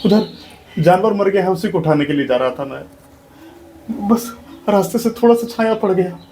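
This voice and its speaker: A pleading, wailing downtrodden laborer, acted by a man in a stage monologue, his voice pitched high as he repeats his cries over and over. The delivery is raw and desperate. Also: steady tone, slow, darkish tone, slight background noise.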